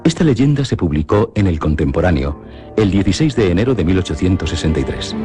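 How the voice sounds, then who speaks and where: A Spanish man presents radio commentary in a deep, low voice. He explains calmly and evenly. Music plays clearly beneath his words. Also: fast talker. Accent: Spanish from Spain.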